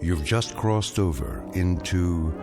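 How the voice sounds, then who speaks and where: An actor playing a solemn, knowing narrator of uncanny tales, speaking in a measured, ominous radio drama style. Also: male voice, low voice, animated. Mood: portentous. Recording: music behind the voice.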